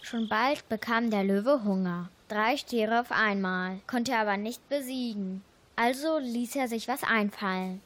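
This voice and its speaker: A woman's voice: a little high, a little slow, fairly bright tone. A woman reading scripted storybook narration for a children's fable.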